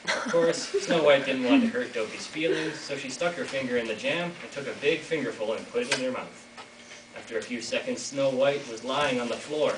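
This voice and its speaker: Teen male storyteller, average pitch, scripted fairytale narration, distant roomy school recording. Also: brisk, crowd noise. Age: teenager. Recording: echoey noisy hall from far off.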